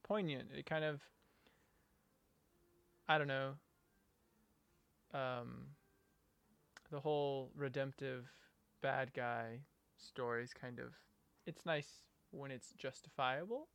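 Gravelly man hosting a podcast. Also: medium pitch, takes it slowly, long pauses, fairly bright tone, no room sound. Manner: hesitant, with fillers. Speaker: podcast co-host. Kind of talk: casual chat.

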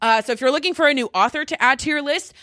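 A woman's voice: high voice, very fast, pushed and bright, no echo, studio quality. A woman talking casually and emphatically in a gushing, conversational monologue, like a vlogger sharing her favorites. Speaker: vlogger recommending favorite books. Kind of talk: casual chatty storytime monologue to camera.

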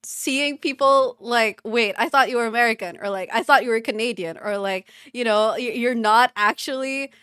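A woman from the Philippines hosting a podcast, explaining her views in measured, thoughtful casual chat. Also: a little high, a bit bright, clear, resonant tone, no room sound, studio quality. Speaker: podcast host.

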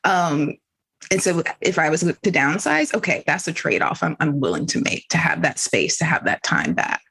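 Husky voiced woman chatting candidly in a group talk, kinda thinking out loud, reflective. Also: deep voice, sing-song, normal speed. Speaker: team member in a group discussion. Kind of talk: reflective casual conversation.

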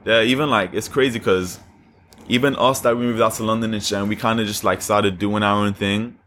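A man's voice: on the low side, fairly even intonation, fairly quick, a bit bright, slight background noise. A young man from Australia and Nigeria, a skater guest chatting loosely with slang and lots of fillers. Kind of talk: casual chat.

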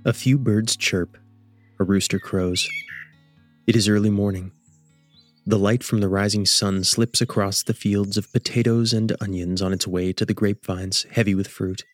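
Male narrator for scripted radio drama, measured storytelling delivery, lower-pitched voice, plucked guitar music behind. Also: fairly even intonation. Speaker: host and narrator.

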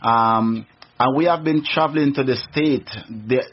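Man, Jamaican accent, middle-aged, husky voice, earnest, rambling, dull radio sound. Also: mid-range voice, measured pace. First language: Jamaican Patois. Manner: earnest, rambling with many restarts. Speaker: guest. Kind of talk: casual interview, testimony. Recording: muffled radio recording.

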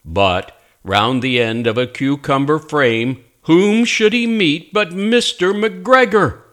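A man reading a children's story aloud as an expressive narrator, with deliberate, dramatic phrasing. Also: fairly high pitch.

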